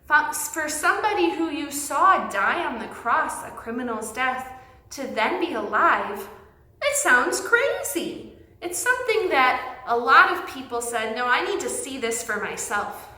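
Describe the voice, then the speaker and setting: Animated high-voiced woman reading to children. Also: a little slow. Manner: animated, simple child-directed phrasing with emphatic words. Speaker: children's Bible teacher and storyteller. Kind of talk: read-aloud storytelling for young children. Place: indoors in an empty classroom. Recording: roomy, echoey classroom sound.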